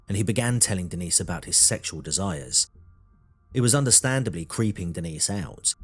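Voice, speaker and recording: Deep-voiced man narrating true crime, slightly husky. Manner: measured, dramatic storytelling. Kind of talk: scripted documentary narration.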